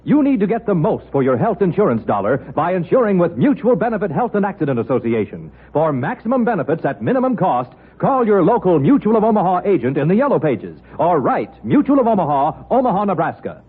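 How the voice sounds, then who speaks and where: A man with a husky voice delivering a 1950s radio advertising pitch as a commercial announcer. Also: sing-song, a little fast, hardly any pauses, soft background music. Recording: tinny old radio broadcast.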